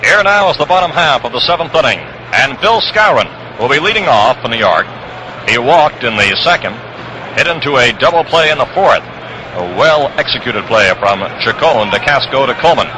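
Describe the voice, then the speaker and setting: A man calling baseball play-by-play for 1960s radio in measured, descriptive phrases. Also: mid-range voice, expressive, bright, forceful tone. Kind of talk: 1960s radio sports commentary. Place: outdoor ballpark broadcast position. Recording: noisy vintage radio broadcast, ballpark outdoors.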